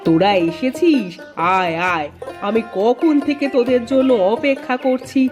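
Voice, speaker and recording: A spiteful cartoon aunt , acted by a woman, speaks in a high, theatrical voice. Her pitch swings widely and her words are pointed. Light music plays underneath. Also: expressive, moderate pace. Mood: sugary. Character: spiteful, scheming neighbourhood aunt, sugary when hosting. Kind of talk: Bengali cartoon story dialogue.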